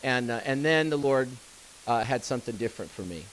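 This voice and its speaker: A man's voice: mid-range voice, lively intonation, brisk, rough recording. A man, an assistant pastor telling a folksy story in a talk to church staff. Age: 50s. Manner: folksy storytelling with fillers and restarts. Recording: faint hiss.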